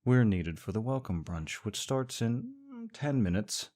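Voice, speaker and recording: A man's voice: mid-range voice, wildly swooping pitch, unhurried, dark tone. A young man performing a scripted audio roleplay as a sarcastic, teasing rival co-worker. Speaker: voice actor playing a smug rival co-worker.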